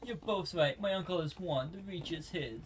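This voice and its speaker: A man's voice: on the high side, moderate pace, a little hiss or hum, pitched above the performer's own voice, close to an everyday voice, rough recording. A man voicing a proud, defiant royal heiress, reading game dialogue aloud dramatically. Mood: defeated. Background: game music.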